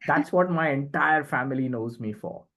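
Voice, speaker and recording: A man's voice: medium pitch, normal speed, fairly bright tone. A middle-aged man with an Indian accent explains things conversationally as a podcast guest, emphatically and with frequent short tag questions. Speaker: podcast guest, communication and leadership expert.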